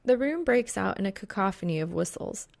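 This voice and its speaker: A woman's voice: medium pitch, animated, brisk, vocal fry. A woman reading a piece of fan fiction aloud as scripted audiobook narration.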